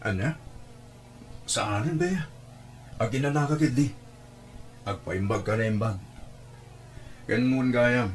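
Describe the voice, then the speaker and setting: A man's voice: mid-range voice, slight background noise, lightly acted. A man acting a weary, dutiful young boyfriend in an Ilocano audio drama, with a subdued, deferential manner.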